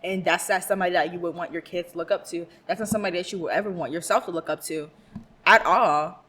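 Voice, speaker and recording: A woman's voice: fairly low pitch, fairly even intonation, fast, fairly bright tone. A young woman hosting a reaction video, talking casually and sounding exasperated, often laughing. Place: cold room at home.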